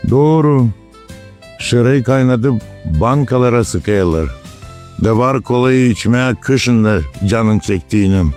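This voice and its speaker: Bir adamın canlandırdığı bilge köylü dede, fonda müzik. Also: male voice, mid-range voice, takes it slowly, a little mellow, lightly acted. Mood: folksy. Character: wise male village grandfather, fond of old ways. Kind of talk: cartoon character dialogue. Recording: music behind the dialogue.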